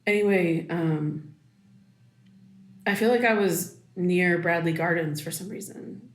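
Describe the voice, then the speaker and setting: A woman's voice: lowish voice, slow pace. A woman playing a raw, insistent daughter in her thirties in a naturalistic audio drama, speaking in a hesitant, conversational way. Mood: searching. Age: 30s. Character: wounded thirty-three-year-old daughter, insistent and raw.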